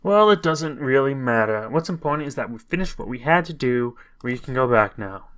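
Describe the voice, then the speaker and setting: A man's voice: mid-range voice, expressive, normal speed, a bit bright, smooth, natural voice. A man voicing a composed, dutiful male guardian warrior from a visual novel, in a matter-of-fact, reassuring way. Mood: calm reassurance.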